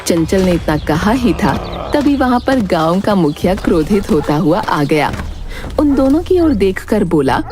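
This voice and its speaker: A woman's voice: medium pitch, sing-song, unhurried, darkish tone, faint music behind. A woman reading scripted narration for a moral folk story.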